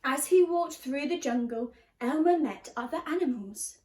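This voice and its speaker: A woman reading a children's story aloud like a teacher, expressively and deliberately, stressing key words. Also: high-pitched, animated, warm tone. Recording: roomy, echoey sound.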